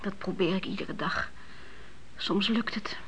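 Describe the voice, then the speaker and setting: An actress playing a weary, grieving widowed mother in a radio drama, halting and breathy. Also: mid-range voice, in a whisper, lightly acted. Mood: sorrowful. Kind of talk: intimate radio drama dialogue. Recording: hissy, muffled old radio recording.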